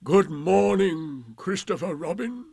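A mournful old donkey , as a male narrator puts him on, drags out each word in a husky, lilting voice that rises and falls. He sounds solemn and weary. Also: high-pitched, expressive, dark tone, no echo, voice pushed up, heavily acted. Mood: gloomy. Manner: slow, drawn-out, solemn delivery.

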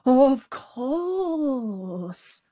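A woman's voice: mid-range voice, lively intonation, very slow, no room sound, clean studio sound. A woman voicing a sly jackal in a children's story, who speaks in a dithering, mock-timid way. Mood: muddled, trembling. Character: sly jackal feigning a dim, muddled wit.

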